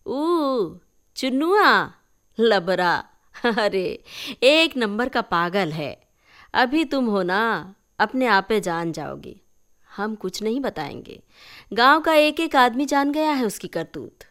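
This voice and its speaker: A woman's voice: a little high, very animated, a bit bright, pitched above the performer's own voice, heavily acted. Lady acting a gossipy rustic auntie from a story, knowing and teasing, drags out her words in village dialect. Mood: disapproving, secretive. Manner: rural dialect, drawn-out emphatic phrases.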